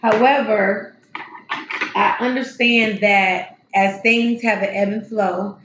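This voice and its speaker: A woman hosting a tarot and spiritual channel, her voice a little hoarse, talking slowly and encouragingly from her home healing space. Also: mid-range voice, fairly even intonation, echoey room, muffled recording, background noise. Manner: soft, unhurried, encouraging, with drawn-out pauses. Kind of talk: casual spiritual encouragement talk.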